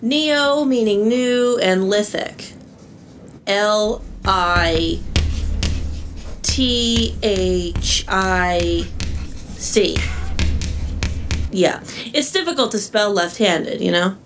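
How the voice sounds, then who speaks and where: A fussy female professor, acted by a woman in a comedy audio drama, lecturing at a crawl and spelling out each letter. Her voice sits at an ordinary pitch with clear music playing behind it. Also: drawn-out words, pauses often.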